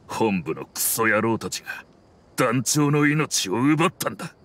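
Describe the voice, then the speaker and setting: A man voicing a loyal, battle-worn mercenary in a video game, recounting things in a gruff, matter-of-fact way. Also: mid-range voice, very animated, unhurried. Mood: bitter. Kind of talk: dramatic game acting. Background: soft music.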